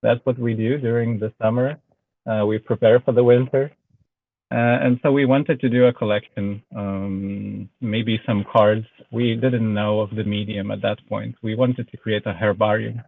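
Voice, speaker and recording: A man from Lithuania speaking English with a Lithuanian accent, a guest artist chatting casually, pausing often with filler sounds. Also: lowish voice, gravelly, no room sound. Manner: hesitant, many filler sounds. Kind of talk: casual chat. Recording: tinny phone-like online call audio.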